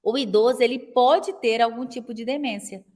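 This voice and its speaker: A woman's voice: a little high, normal speed, darkish tone. A woman in her forties with a Brazilian accent, teaching a live online class for elder caregivers in a chatty way and often checking in with her viewers. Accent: Brazilian Portuguese. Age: 40s. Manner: chatty, tags nearly every sentence with a question to viewers.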